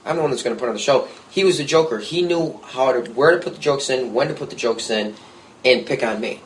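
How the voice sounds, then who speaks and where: A man, a guest musician, telling lively stories in a casual podcast conversation with jokey asides. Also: medium pitch, quick pace, slight background noise, low-quality recording. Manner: storytelling, animated, jokey asides.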